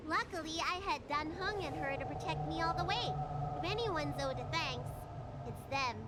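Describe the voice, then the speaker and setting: A woman's voice: high voice, expressive, normal speed. A woman voicing a cute, plucky little girl healer and elder in a video game, with a childlike, direct manner. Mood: earnest. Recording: noisy game capture with ambience.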